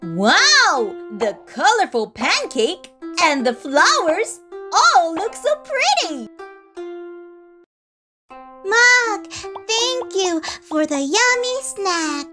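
A very high-pitched, cutesy little child from a puppet show. The excitable delivery is slow and drawn out, swinging up and down over children's music. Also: female voice, full character voice. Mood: delighted. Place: studio voice-over.

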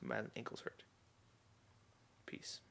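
A tired young man rambling in a low-key way to a webcam from his bedroom, recording a casual video diary. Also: slow pace, sharp tone, whispered. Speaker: vlogger. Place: bedroom at home.

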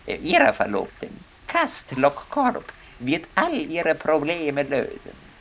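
A man's voice: fairly high pitch, huge pitch swings, takes it slowly, a bit bright, a little hiss or hum, pitched above the performer's own voice, full character voice. A man voicing an eerie, insistent cosmonaut recruiter in a video game, drawing out his words. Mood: eerily insistent.

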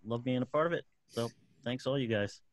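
A man's voice: medium pitch, a little fast. A man from Israel talking casually as a guest performer on a podcast video call. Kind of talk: casual chat. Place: indoors, remote video call.

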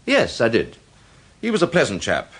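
Radio drama polite, apologetic man, husky, with swooping intonation. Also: medium pitch, wildly swooping pitch, normal speed, a bit bright. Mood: earnest, explaining. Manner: hesitant, apologetic. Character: polite, hesitant, earnest gentleman with a worry. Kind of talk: British radio drama acting.

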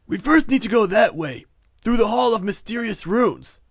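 A rebellious, laid-back goblin teenager from a cartoon, voiced by a man. He talks like a slacker in a high, creaky voice. The sound is dry and close, with swooping pitch. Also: lively intonation, normal speed, no echo, exaggerated voice. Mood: matter-of-fact. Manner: slacker teen slang. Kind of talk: cartoon fan dub acting.

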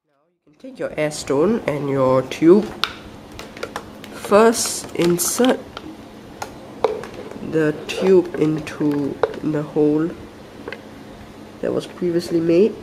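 A young man with a croaky, soft voice after a flu, hosting a casual hobby tutorial and explaining each step with small hesitations and restarts. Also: fairly high pitch, slow, pauses often, background noise. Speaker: aquarium hobby channel host. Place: indoors at a table.

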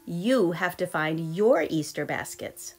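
A woman voicing an excited, cheerful little girl bunny in a storybook, eager and exclaiming. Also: fairly low pitch, takes it slowly, faint music behind, exaggerated voice. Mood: pleased.

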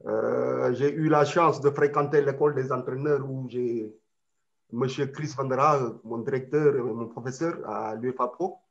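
A man's voice: medium pitch, steady tone, measured pace, vocal fry. Middle-aged man from Senegal, muffled call. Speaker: football coach. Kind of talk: video call self-introduction. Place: indoor room with plants. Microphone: webcam on video call.

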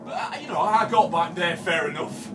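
An actor with a British accent playing a haunted, obsessive man confessing to a killing in a halting, repetitive live horror monologue that swings between hush and shouting. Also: on the high side, noisy background, natural voice. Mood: hushed, shaken. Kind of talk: live dramatic monologue of horror audio drama. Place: small dim café stage before seated audience. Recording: distant live room mic, music behind.

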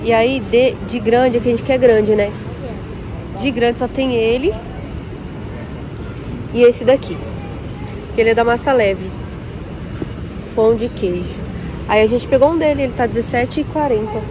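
A woman's voice: on the high side, unhurried, pauses often. A young woman vlogging while shopping in a large supermarket, chatting to viewers and comparing prices aloud, filmed on a phone at arm's length. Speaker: vlogger, café owner. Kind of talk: casual shopping vlog. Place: large warehouse supermarket, indoors. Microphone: phone held at arm's length. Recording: noisy store ambience.

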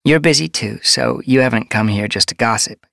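A woman voicing a calm female medicine cat who talks in a brisk, kindly, matter-of-fact way. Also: extremely low pitch, vocal fry, dry recording, clean studio sound, heavily acted. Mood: warm.

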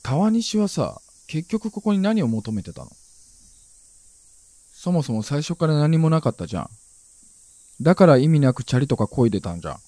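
Husky, blunt young hometown buddy. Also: male voice, fairly high pitch, very animated, moderate pace, pauses often, mellow, no room sound. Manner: casual, blunt, offhand. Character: blunt, easygoing young hometown friend, impulsive, nostalgic. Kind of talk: naturalistic radio drama acting.

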